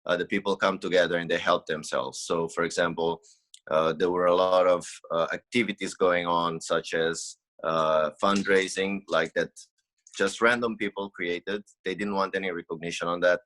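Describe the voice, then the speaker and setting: Brief: very low, forceful male guest from Mozambique, earnest and halting. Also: steady tone. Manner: earnest, searching for words. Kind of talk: casual interview talk.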